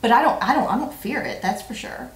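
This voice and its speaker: A woman in her forties co-hosts a casual vlog in a roomy, echoing space. Her voice sits fairly high. She talks playfully and leans on repetition for emphasis. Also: fairly quick. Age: 40s. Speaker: vlog co-host. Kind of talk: casual chat, answering tag questions. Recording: roomy, echoey sound.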